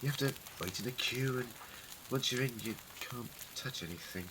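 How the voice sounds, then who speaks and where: A man's voice: mid-range voice, moderate pace, background noise, close to an everyday voice. A man acting in a fan audio drama as an eccentric, clever Time Lord adventurer, musing, wordy and wryly witty. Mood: wry, explaining.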